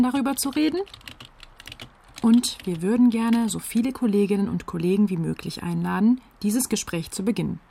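An actress playing an earnest, polite tax office official, delivering a formal letter in a measured way in a radio drama. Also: female voice, mid-range voice, gravelly, mellow, slight background noise, lightly acted.

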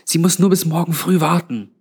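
A man voicing a kindly, anxious old grandmother with a hushed, pleading manner in a narrated story. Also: fairly high pitch, moderate pace, slightly rough, voice pushed up. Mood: hushed hope. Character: kindly but anxious old granny, undead innkeeper.

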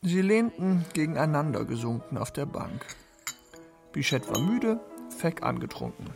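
A man's voice: on the high side, very animated, moderate pace. A man narrating literary prose in a radio play. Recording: music clearly behind the voice.

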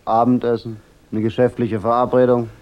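An actor playing a cool, unflappable private detective with dry, wry retorts in a German radio crime drama. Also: male voice, medium pitch, level tone, normal speed, lo-fi sound, natural voice. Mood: coolly defiant.

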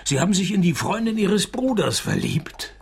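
An actor playing a sardonic, laconic private detective in a radio play, with a gravelly voice and dry wit. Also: male voice, high-pitched, animated, moderate pace, dry recording, exaggerated voice. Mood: probing. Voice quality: gravelly, creaky. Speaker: narrator and lead. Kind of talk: radio play narration and dialogue.

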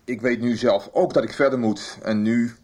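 An actor playing a lonely, guilt-ridden middle-aged widower, speaking haltingly in a naturalistic radio soap. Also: male voice, mid-range voice, steady tone, a little mellow, breathy edge, lightly acted. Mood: heavy-hearted. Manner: halting, careful.

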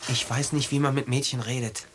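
A man's voice: mid-range voice, flat delivery, brisk, background noise, lightly acted. An actor playing a shy, awkward teenage boy in a German radio drama, giving hesitant, anxious, reluctant replies. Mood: nervous, reluctant.

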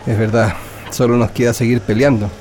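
A man's voice: medium pitch, little pitch movement, a little fast, darkish tone, slight background noise, close to an everyday voice. A man playing a resolute, questioning political prisoner in a radio drama. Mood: curious.

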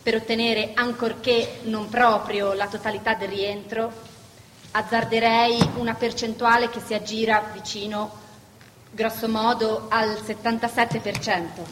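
A woman on stage playing a diplomatic doctor with a slightly high voice. She is formal and careful, wrapping bad news in euphemism. The hall is very echoey, with faint noise behind her. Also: flat delivery, measured pace, natural voice. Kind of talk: live theatre acting.

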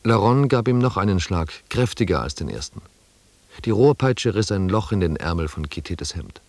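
An actor playing a sober expedition member who narrates the journey in a German radio drama, measured and descriptive. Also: male voice, low voice, expressive, darkish tone, dry recording. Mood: detached.

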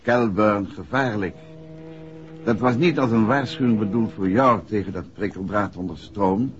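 An actor playing a shrewd, composed male detective in a 1960s radio drama, speaking Netherlands Dutch in a measured, assured way. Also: on the low side, close to an everyday voice. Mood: confronting, composed. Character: shrewd, composed male amateur detective explaining a solved case. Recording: muffled, noisy 1960s radio recording.

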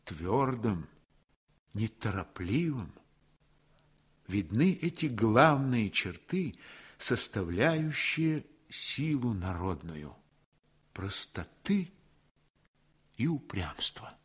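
Radio drama narrator, grave literary storyteller: low, husky, declamatory. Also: male voice, sing-song, a bit bright, exaggerated voice. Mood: solemn. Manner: deliberate, emphatic, declamatory.

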